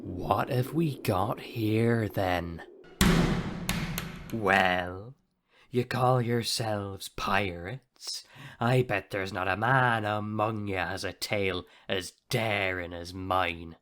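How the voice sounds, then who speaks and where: Slow, boastful captain. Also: male voice, lowish voice, background noise. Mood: boastful, taunting. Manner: grandiose, theatrical, slow storytelling. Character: boastful swashbuckling male pirate captain telling tall tales. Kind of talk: audio play acting.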